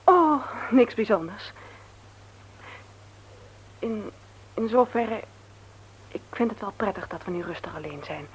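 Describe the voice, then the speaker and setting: A woman's voice: mid-range voice, animated, slow, pauses often. Hesitant, troubled woman. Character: hesitant, troubled mother with something to confess. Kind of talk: Dutch radio play acting. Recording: noisy old radio play recording.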